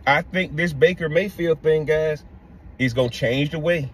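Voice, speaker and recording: Husky middle-aged man vlogging in a car. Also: a little high, moderate pace. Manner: animated, addresses viewers as "guys" and "man". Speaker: podcast host. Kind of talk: casual opinion vlog. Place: parked car interior.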